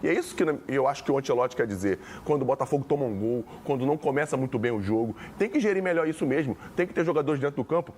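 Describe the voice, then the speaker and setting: Measured Brazilian pundit explaining slowly. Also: male voice, medium pitch, lively intonation, darkish tone, a little hiss or hum. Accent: Brazilian Portuguese. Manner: measured, explanatory, drawn-out words. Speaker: sports panelist. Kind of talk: casual football debate.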